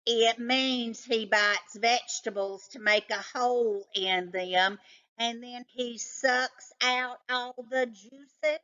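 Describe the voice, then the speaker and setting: A woman voicing an excitable, suspicious male house cat in a storybook, talking in an emphatic, condescending know-it-all way. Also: a little high, slow, smooth, muffled recording, pitched above the performer's own voice. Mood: smug, dramatic.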